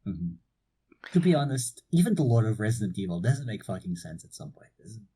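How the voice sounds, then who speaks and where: A young man from Portugal co-hosts a loose podcast chat, relaxed and casually profane. His voice is husky and mellow, heard through a cheap microphone. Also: on the low side, fairly quick. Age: young adult. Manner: relaxed, swears casually, laughs often. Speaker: podcast co-host. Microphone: cheap low-quality mic.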